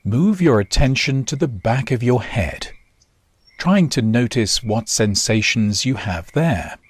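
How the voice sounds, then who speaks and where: A man guiding a body scan meditation in short, measured instructions with long pauses. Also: mid-range voice, animated, husky, no room sound. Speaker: meditation guide. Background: nature sounds.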